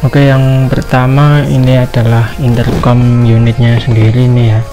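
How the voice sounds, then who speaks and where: A man's voice: mid-range voice, flat delivery, unhurried, over music. A man giving a casual product review in an informal, explanatory way. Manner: informal, explanatory, frequent tag words.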